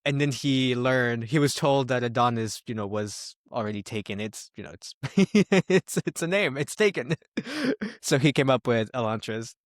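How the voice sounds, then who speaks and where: Conversational man, mid-pitched, very dry sound. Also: lively intonation, normal speed, no echo. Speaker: podcast co-host reviewing books. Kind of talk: casual discussion.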